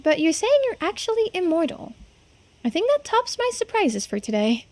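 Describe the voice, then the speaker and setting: A woman voicing an excitable, trusting teenage boy in a video game, casual and full of exclamations. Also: extremely high pitch, moderate pace, heavily acted. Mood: earnest, teasing. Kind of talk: voiced visual novel playthrough.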